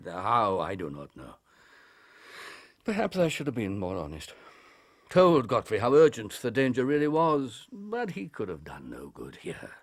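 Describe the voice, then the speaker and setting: Older English country doctor, radio drama, formal, earnest, compassionate, very lively intonation. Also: male voice, on the low side, a little slow, exaggerated voice. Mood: agitated, regretful. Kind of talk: British radio drama acting.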